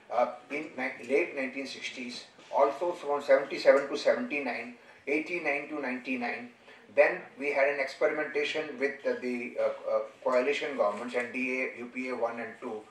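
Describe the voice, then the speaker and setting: A man with an Indian accent giving a formal lecture in an earnest, discursive and halting way. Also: mid-range voice, a bit bright. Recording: echoey room, distant microphone.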